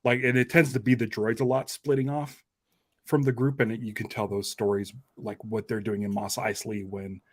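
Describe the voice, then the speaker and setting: A man's voice: on the low side, level tone, normal speed, no room sound, studio quality. A man in a casual fan podcast chat, enthusiastic and thinking aloud in rambling fragments. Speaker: podcast host.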